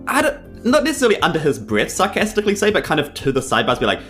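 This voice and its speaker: A woman's voice: low voice, huge pitch swings, rapid-fire, a bit bright, faint music behind. A woman taking part in a tabletop game as a player, improvising casually. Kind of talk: casual improvised role-play chat.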